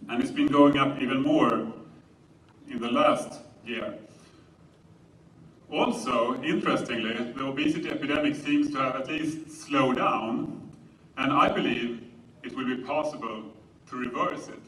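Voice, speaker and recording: Bright-voiced Swedish man lecturing in roomy space. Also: medium pitch, measured pace, long pauses. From Sweden. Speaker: conference speaker. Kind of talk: public presentation lecture. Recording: roomy, distant mic, faint noise.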